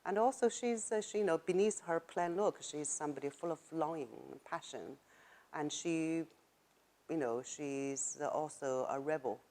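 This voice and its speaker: A middle-aged woman with a Chinese accent and a remarkably low voice speaks in a casual interview. Her English is a second language, and she pauses often with fillers as she thinks aloud. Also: dark tone. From China. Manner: thoughtful, halting, with frequent fillers and laughs. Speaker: author interviewee.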